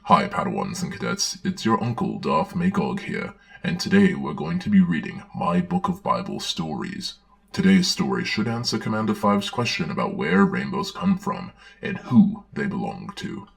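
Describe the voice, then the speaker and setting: A man's voice: rumbling low, sing-song, moderate pace, creaky, fairly bright tone, faint music behind. A man giving a dramatic storybook reading with wry, sardonic commentary. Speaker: host, storyteller.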